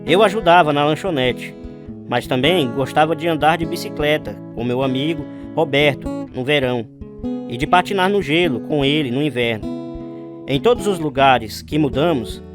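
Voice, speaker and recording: A man's voice: fairly high pitch, moderate pace, over music, close to an everyday voice. An actor playing an earnest man recalling his youth in scripted radio drama narration. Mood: reflective.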